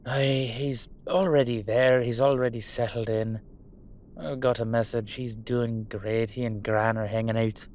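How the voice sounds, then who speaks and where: A man playing a gruff but fond Irish dullahan in a roleplay audio drama, speaking in a colloquial, hesitant, sweary way. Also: mid-range voice, fairly even intonation, a little slow, darkish tone, close to an everyday voice. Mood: fond, reassuring.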